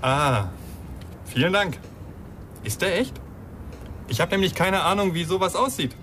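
A man's voice: sits high, animated, unhurried, fairly bright tone, slight background noise. An actor playing an evasive, polite man under police questioning in a German radio crime drama, wary and a little defensive. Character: evasive, polite male suspect, defensive householder.

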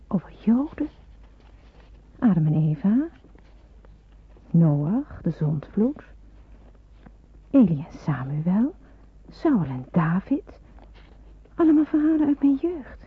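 A woman's voice: mid-range voice, slightly rough, heavily acted. An actress playing an earnest, anxious young woman in wartime hiding, musing hesitantly in an old radio play. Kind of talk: Dutch radio play acting with hushed inner monologue. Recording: muffled, narrow-band old radio recording.

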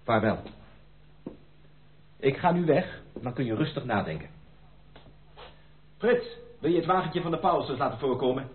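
An actor as an insistent gentleman suitor, swooping intonation. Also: mid-range voice, expressive, moderate pace, long pauses, lightly acted. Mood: stern. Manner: formal, emphatic, dramatic. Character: proud gentleman suitor, insistent, earnest, stubborn. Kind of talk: Dutch radio play acting. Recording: thin narrow-band radio play recording.

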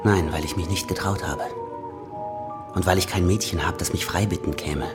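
An earnest, protective journeyman played by a man in a radio play. His voice is low, and he instructs with urgency and caution. Music plays behind him. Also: steady tone, normal speed, close to an everyday voice. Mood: grave warning. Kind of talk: German radio play acting.

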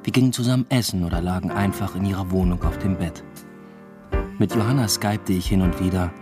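Actor as very deep-voiced, reflective male student. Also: brisk, over music, natural voice. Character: aimless, evasive male German doctoral student narrating his New York stay. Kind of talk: literary radio drama, first-person narration and dialogue.

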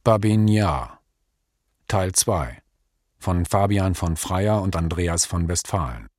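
A man's voice: sits low, lively intonation, takes it slowly, a little mellow, no room sound, clean studio sound. A man reading out the scripted credits of a radio play as its announcer.

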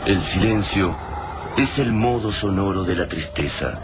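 A man's voice: low-pitched, a little slow. A man with an Argentine accent performing as the grave, poetic narrator of a radio drama about war. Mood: solemn. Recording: noisy ambience behind the voice.

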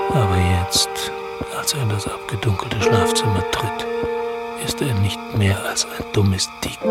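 An actor as a solemn male narrator of a literary radio drama, delivering formal, measured narration. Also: fairly low pitch. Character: solemn, omniscient male narrator of palace intrigue. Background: prominent music.

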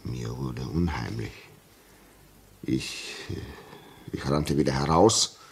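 An actor playing a grave, shaken railway signalman in a German radio play, telling his story haltingly and insistently. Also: extremely low pitch, gravelly, husky, full character voice. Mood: uneasy recounting. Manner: slow, halting, repeats words for emphasis.

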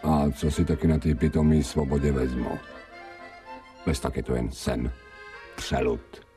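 An actor in a radio drama playing a grumbling, cynical stray dog who thinks aloud like a human in colloquial speech. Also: male voice, a bass voice, flat delivery. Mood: wistful, cynical. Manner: colloquial Czech, muttering, pleading when frightened. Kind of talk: radio drama acting, inner monologue. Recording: music behind the voice.

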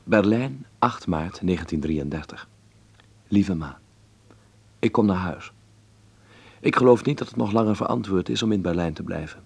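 Low, creaky-voiced earnest young man. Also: lively intonation, normal speed, pauses often, a little mellow, no room sound, exaggerated voice. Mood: sober, regretful. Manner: courteous, reflective, sincere. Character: earnest, kindly young Dutch filmmaker living in 1930s Berlin. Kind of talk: radio drama acting with letter narration.

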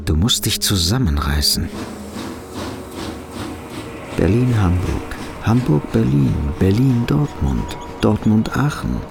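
Casting: haunted travelling fugitive, low creaky voice, inner monologue, music behind, radio play. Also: male voice, big pitch swings, slow, pauses often, heavily acted. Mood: brooding. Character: nervous, despairing fugitive businessman muttering to himself.

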